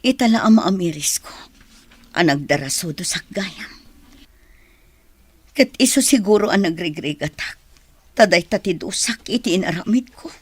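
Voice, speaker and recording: A plaintive, guilt-ridden Filipino mother, husky. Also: lowish voice, expressive, normal speed, long pauses, no room sound. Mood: regretful. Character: sorrowful, guilt-ridden mother searching for her child. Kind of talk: Filipino radio drama acting.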